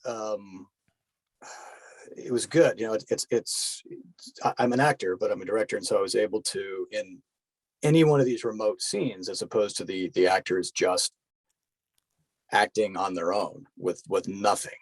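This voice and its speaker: A man speaking as an actor-director guest in a casual interview, halting and repeating words as he goes. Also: fairly low pitch, breathy edge, dry recording, poor audio.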